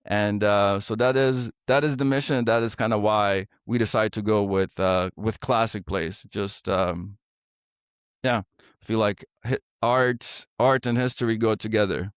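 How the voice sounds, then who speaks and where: A man from Bosnia, a theater director and actor, talking in a casual interview, halting with many fillers and restarts. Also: lowish voice, fairly even intonation, clean tone, no room sound, clean studio sound. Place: podcast studio.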